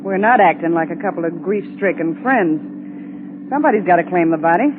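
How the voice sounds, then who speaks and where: A woman's voice: fairly low pitch, animated, fairly quick, close to an everyday voice. An actress playing a coaxing, scheming, coolly seductive female accomplice in 1940s radio drama style. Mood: cool, flirtatious. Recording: narrow, noisy 1940s radio recording.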